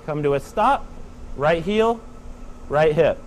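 Male snowboard coach, thirties, higher voice, calm clipped cues, faint hum behind. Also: takes it slowly. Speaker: snowboard instructor. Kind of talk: step-by-step instructional demonstration. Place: indoor training slope under a roof.

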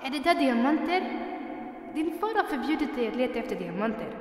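Fussy cartoon animal minder, played by a woman, scolding manner, fairly high voice. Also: very animated, echoey room, distant mic. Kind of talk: cartoon fan dub.

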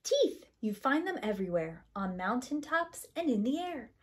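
A woman reads a rhyming picture book to young children. Her voice sits fairly high and moves up and down freely. The delivery is expressive and sing-song, with deliberate pauses between phrases. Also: slightly rough. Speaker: preschool teacher reading aloud.

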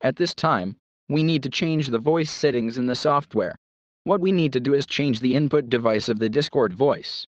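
A man presents a software walkthrough in scripted narration. His voice sits at an ordinary middle pitch, recorded very dry and close. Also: moderate pace, dry recording, muffled recording. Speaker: product presenter.

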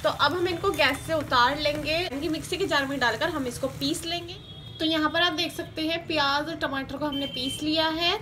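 Female cooking host, high voice, measured step-by-step recipe read, noisy kitchen behind. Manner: steady instructional, repeats each step. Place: home kitchen. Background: sizzling and stirring in a pan.

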